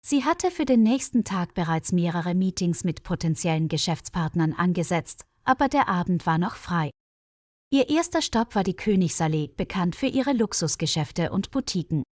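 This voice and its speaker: A woman's voice: lowish voice, animated, normal speed, darkish tone, no echo, studio quality. A woman reading a scripted story for language learners as its narrator. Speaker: narrator of stories for German learners.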